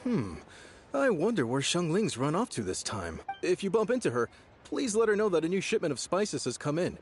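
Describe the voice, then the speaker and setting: A man's voice: high-pitched, sing-song, moderate pace, slight background noise. A man playing a friendly, fatherly restaurant chef in a video game, jovial and easygoing. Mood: musing.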